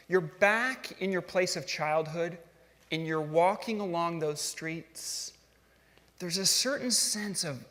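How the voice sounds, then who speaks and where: A middle-aged man telling his story from a stage in a relaxed, self-deprecating tone, now and then putting questions to the audience. His voice sits fairly high. Long reverb from a large hall trails each phrase. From grew up in Switzerland and California. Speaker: speaker giving a talk.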